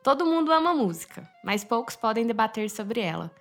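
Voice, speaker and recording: Woman, Goiás accent, higher-pitched, podcast host, upbeat, music bed faint behind. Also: very animated, brisk, a bit bright. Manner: upbeat, laughs often, chimes in. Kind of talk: casual interview chat.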